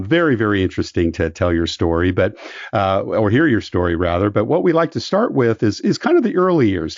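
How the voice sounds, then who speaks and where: A man's voice: mid-range voice, no room sound. A man hosting a business podcast, reading guest introductions and asking questions with an enthusiastic, chatty manner. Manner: warm enthusiastic interviewer with fillers. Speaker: podcast host. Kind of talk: scripted podcast intro then interview. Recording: dull lo-fi podcast audio.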